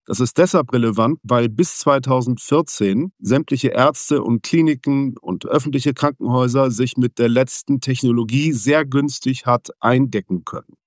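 A man who grew up in Venezuela speaks as a business guest in an interview. He explains things in a steady, measured way at a medium pitch. The recording is dry and close, with no room sound. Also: no room sound. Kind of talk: interview answers.

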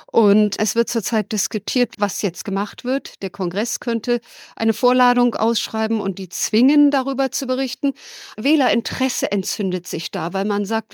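A woman's voice: on the high side, darkish tone, no echo. Emphatic woman correspondent, dry close sound. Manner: emphatic, opinionated, wry. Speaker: correspondent in the USA. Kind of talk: news interview answers. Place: USA.

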